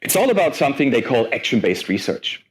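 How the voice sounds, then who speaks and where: A man in his forties giving a lively conference talk as guest speaker, chatty and self-deprecating with many fillers. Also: mid-range voice, fast talker, rough recording. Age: 40s.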